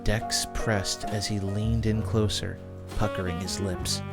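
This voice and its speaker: Casting: male narrator for a fantasy tale, somewhat deep, scripted read over background music. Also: little pitch movement, slow.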